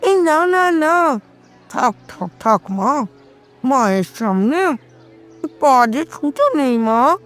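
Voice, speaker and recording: Woman acting a very slow-spoken, doting old grandmother. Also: sits high, expressive, soft background music. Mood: delighted, fond. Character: affectionate old Bengali grandmother, doting household matriarch. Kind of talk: Bengali audio story acting.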